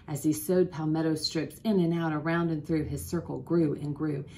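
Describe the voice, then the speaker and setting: A woman in her forties reading a children's picture book aloud in an expressive, deliberate way, pausing to put questions to listeners. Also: low voice, a little hiss or hum. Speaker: school principal, guest storybook reader. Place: small office, indoors.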